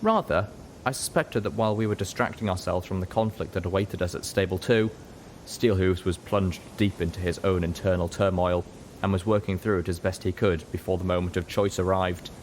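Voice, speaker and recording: A man's voice: low voice, fairly quick, slight background noise. A man reading a scripted first-person story as a dramatic narrator.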